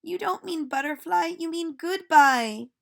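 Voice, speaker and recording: A woman voicing giggly young schoolchildren in a storybook, gently correcting their teacher with emphatic exclamations. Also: very high-pitched, unhurried, creaky, voice pushed up, heavily acted. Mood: playful protest. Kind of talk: storybook read-aloud for children.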